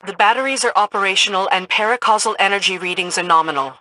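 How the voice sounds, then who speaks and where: An actress playing a tough, sardonic military woman in a sci-fi game, brisk, blunt and no-nonsense. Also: medium pitch, background noise, close to an everyday voice. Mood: brisk, businesslike. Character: tough, sardonic female military second-in-command to a queen. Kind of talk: video game voice acting.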